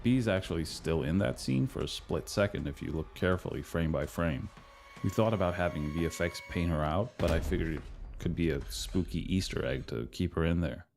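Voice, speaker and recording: A man with a very low-pitched voice talks through a film as its director. His delivery is measured and matter-of-fact, explaining choices plainly. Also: darkish tone, slight background noise. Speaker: film director giving commentary.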